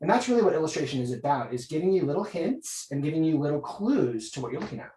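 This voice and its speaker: A man's voice: a little high, big pitch swings, quick pace, warm tone, a little hiss or hum. A man, a guest illustrator, chatting casually and enthusiastically, thinking aloud as he demonstrates drawing. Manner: enthusiastic, informal, thinking aloud while working. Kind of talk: casual art demonstration and chat. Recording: roomy echo, away from mic.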